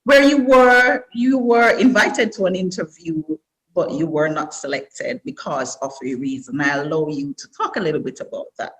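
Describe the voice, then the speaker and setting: A woman's voice: lowish voice, moderate pace, rough recording. A woman hosting an interview, affirming her guest and speaking to listeners directly. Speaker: host. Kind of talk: interview conversation.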